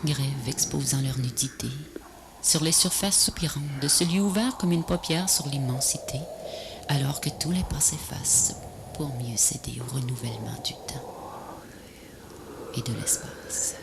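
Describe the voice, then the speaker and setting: Creaky, breathy woman reciting poetry. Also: very deep voice. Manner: solemn, measured delivery in short phrases. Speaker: poet narrator of a video poem. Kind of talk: poetic recitation, scripted voice-over. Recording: noisy VHS transfer, ambient noise.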